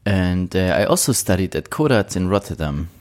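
A man's voice: low voice, animated, normal speed, darkish tone. A young man from southern Germany with a German accent, casually introducing himself. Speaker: circus performer, interviewee.

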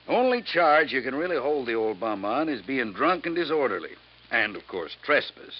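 On a muffled, noisy old radio recording, an actor plays a cocky male fire expert. He is jaunty and emphatic, needling the listener with big swoops of pitch. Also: sing-song, moderate pace, natural voice. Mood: smug. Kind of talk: 1950s radio drama acting.

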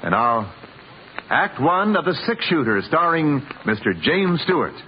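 Animated American radio announcer, vintage sound. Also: male voice, a little high, unhurried, slight background noise. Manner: polished, emphatic delivery. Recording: muffled old radio recording.

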